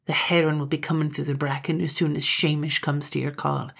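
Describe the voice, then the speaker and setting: A woman reading a story aloud, voicing a murderous, possessive Highland man who talks in a forceful, menacing dialect. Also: flat delivery, brisk, vocal fry, husky, heavily acted. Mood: gloating.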